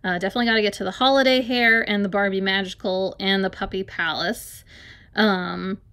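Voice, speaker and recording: A woman's voice: mid-range voice, fairly even intonation, fairly quick, pushed and bright, clear, resonant tone. Casual woman with lots of ums. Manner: hesitant, many ums and likes. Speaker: app reviewer. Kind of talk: casual screen-recorded app review. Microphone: phone or camera filming a tablet screen.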